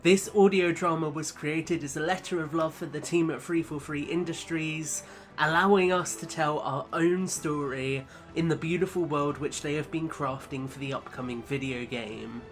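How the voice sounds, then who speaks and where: A man's voice: fairly high pitch, moderate pace, faint music behind. A man speaking as a show's host, giving an earnest, grateful message to listeners. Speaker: show creator and host. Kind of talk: sincere thank-you message to listeners.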